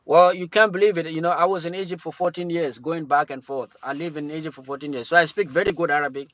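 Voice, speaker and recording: A man from Cameroon hosts a relaxed livestream chat, stumbling and restarting his sentences. His voice sits a bit high for a man. The sound is dry and close, with a dull lo-fi tone. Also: dry recording. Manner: halting, many restarts and fillers. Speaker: livestream host. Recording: dull lo-fi stream audio.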